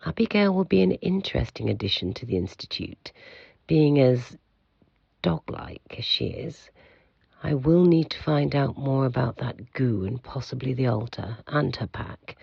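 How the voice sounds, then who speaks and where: An actor playing the stern, secretive head of a mysterious institute in an audio drama, speaking formally and with control in a gravelly voice. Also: male voice, mid-range voice, darkish tone, no room sound, dull audio, full character voice. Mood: brooding, secretive.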